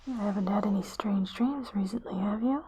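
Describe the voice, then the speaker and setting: A woman playing a calm, clinical spaceship doctor in an ASMR roleplay, whispering breathily in a soothing, gently inquisitive way. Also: mid-range voice, moderate pace, natural voice. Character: calm, clinical female spaceship doctor examining an injured crewmate.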